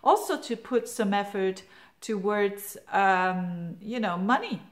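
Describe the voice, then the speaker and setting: A woman's voice: mid-range voice, animated, takes it slowly. A woman with a Flemish accent whose first language is Dutch gives a chatty, rambling horoscope talk as an astrologer, full of fillers and restarts. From Belgium. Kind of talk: casual monthly horoscope talk.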